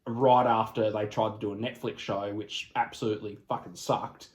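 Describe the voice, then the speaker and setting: A man's voice: fairly low pitch, level tone, normal speed. A man hosting a casual podcast chat about films, with a blunt, sweary way of talking. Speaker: podcast host.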